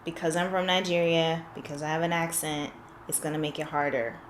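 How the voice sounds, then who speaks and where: Woman coach, lowish voice, patient explainer who asks probing questions, roomy echo with faint hiss. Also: steady tone, a little fast. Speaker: confidence coach. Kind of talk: one-on-one coaching session.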